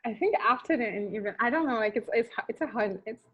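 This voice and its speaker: A young woman speaks softly and hesitantly as a guest. Her voice is fairly high, and the recording sounds dull and lo-fi. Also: expressive, fast talker, breathy edge. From France. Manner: soft-spoken, hesitant.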